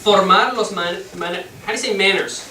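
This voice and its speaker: Halting teacher. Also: medium pitch, a loud crowd. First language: English. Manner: halting, searching for words while translating. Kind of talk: bilingual classroom lesson. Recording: echoey room, distant mic, noisy.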